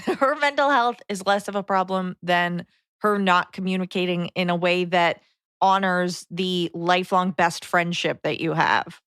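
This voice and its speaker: A woman's voice: fairly low pitch, fairly even intonation, moderate pace, a bit bright, clear, resonant tone, dry recording. Dry-sounding woman podcast co-host. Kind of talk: casual conversational podcast talk.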